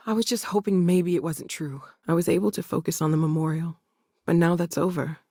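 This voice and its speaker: Young woman space explorer, earnest, caring, wry, conversational, expressive game dialogue, very dry studio sound. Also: lowish voice, normal speed, slightly rough, dry recording. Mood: sad, anxious. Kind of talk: naturalistic video game voice acting.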